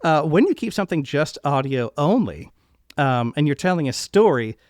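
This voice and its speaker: A man's voice: on the high side, very animated, normal speed, a little mellow, no room sound, studio quality. A man hosting an interview podcast, speaking in an earnest, effusive way. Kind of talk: podcast interview introduction and chat.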